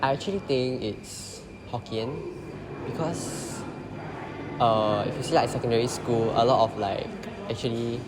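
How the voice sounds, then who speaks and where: A man's voice: medium pitch, unhurried, heavy background noise. A young Singaporean man, a design student, answering interview questions casually with lots of fillers. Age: young adult. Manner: rambling, many fillers. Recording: echoey hall with crowd behind.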